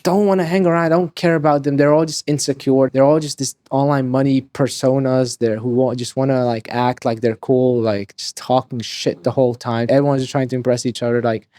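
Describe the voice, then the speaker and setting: Fast-talking young man. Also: mid-range voice, fairly even intonation, mellow, no echo. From Hungary. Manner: rapid, rambling, swears freely, restarts sentences. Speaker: podcast guest. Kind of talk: casual interview talk.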